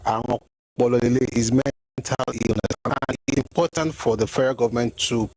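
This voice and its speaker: A man's voice: mid-range voice, fairly even intonation, brisk, darkish tone, breathy edge, dry recording. A man giving history commentary, explaining word by word with a mocking edge.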